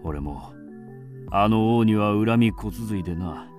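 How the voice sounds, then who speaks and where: A man's voice: lowish voice, slow, darkish tone. An actor playing a grim, resolute man of ancient China in a radio drama, stern and commanding. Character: grim, resolute man: doomed swordsmith and mysterious avenger. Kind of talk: theatrical radio drama acting. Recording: music behind the voice.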